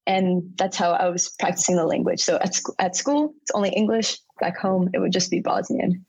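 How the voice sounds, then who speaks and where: A woman's voice: fairly low pitch, fairly quick, creaky, a little mellow, slightly rough, dry recording. A young woman from the United States, answering interview questions in a chatty, casual way and laughing often. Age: young adult.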